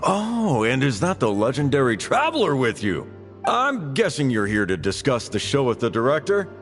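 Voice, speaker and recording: An actor playing an effusive, flattering gentleman theatre patron in a video game, chatty and polite. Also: mid-range voice, moderate pace. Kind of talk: animated game voice acting. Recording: reverberant voice with music. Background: background music.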